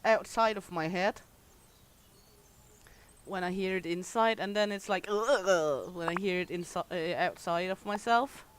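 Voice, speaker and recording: A woman's voice: on the low side, measured pace, slight background noise. A middle-aged Danish woman with a thick Danish accent, chatting candidly with her livestream chat while playing a game. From Denmark. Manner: chatty, candid, reads and answers chat aloud. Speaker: streamer.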